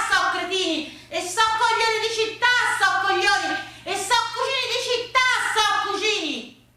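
A woman's voice: very high-pitched, sharp tone, recorded from a distance, a little hiss or hum, voice pushed up. A woman voicing a stubborn, naive old peasant grandfather with a rustic Tuscan accent, in a stage monologue. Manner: indignant, rustic, repetitive. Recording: roomy, echoing live stage performance.

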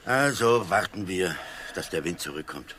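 An actor playing a weary, disgruntled convict sailor in a satirical radio play. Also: male voice, low-pitched, expressive, normal speed, sharp tone, slight background noise. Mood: restless.

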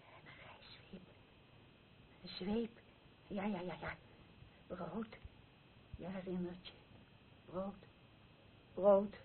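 Older obsessive mother: slow, plaintive, incantatory radio drama delivery, tinny hissy recording. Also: fairly low pitch, fairly even intonation. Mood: obsessive, chanting. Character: obsessive mother, insistently and plaintively craving bread. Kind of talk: radio play acting.

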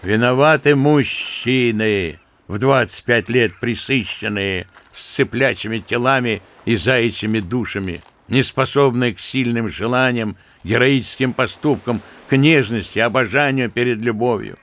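An actor playing an old, kindly, sentimental general who speaks fervently and fondly, in a radio play. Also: male voice, medium pitch, a little slow, hardly any pauses, a bit bright. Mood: musing fervent. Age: older. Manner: fervent, musing, fond and paternal. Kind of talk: theatrical radio play acting.

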